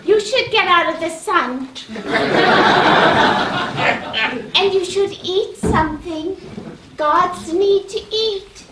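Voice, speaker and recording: A woman playing a fussy, chatty goddess wife and doting aunt in a live stage comedy, with gushing, drawn-out phrasing. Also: extremely high pitch. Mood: fussing. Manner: gushing, solicitous, drawn-out exclamations. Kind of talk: live one-act stage comedy acting. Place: stage of a small playhouse. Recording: distant echoey theatre, clear background noise.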